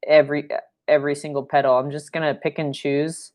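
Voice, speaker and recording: A young woman with a low voice, leading a live-stream painting lesson at home, chatty and rambling with fillers, recorded by a fixed camera mic in a room. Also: little pitch movement, a little fast, darkish tone. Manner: rambling and chatty, with fillers and playful sound effects. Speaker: painting instructor. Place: home studio room, indoors.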